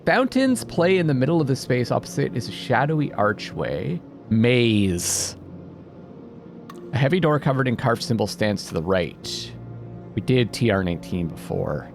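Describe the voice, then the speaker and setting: Male game streamer, mid-pitched and very expressive, reads on-screen text with drama and reasons aloud, clear music underneath. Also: normal speed. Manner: animated, dramatic when reading aloud, thinking out loud. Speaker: video game streamer playing a narrative game. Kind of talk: let's-play commentary, reading game text aloud. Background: music behind the voice.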